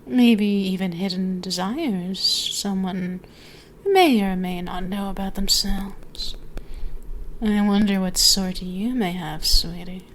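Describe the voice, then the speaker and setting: A woman voicing a seductive, teasing, dominant ancient female dragon in an ASMR roleplay, speaking intimately to the listener in a drawn-out way that often dips toward whispers. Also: medium pitch, slight background noise, close to an everyday voice, rough recording. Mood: teasing, flirtatious.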